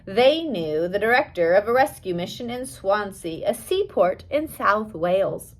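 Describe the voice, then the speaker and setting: Lively-pitched woman reading an audiobook. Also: a little slow. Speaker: audiobook reader. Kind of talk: scripted narration of a biography.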